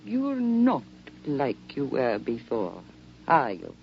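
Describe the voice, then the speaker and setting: Genteel mother, slow, swooping speech. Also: a bass voice, wildly swooping pitch, vocal fry, exaggerated voice. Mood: disapproving. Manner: measured, formal, theatrical. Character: genteel, controlling mother of a grown soldier son. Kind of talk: 1950s radio drama acting. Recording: dull, noisy 1950s radio recording.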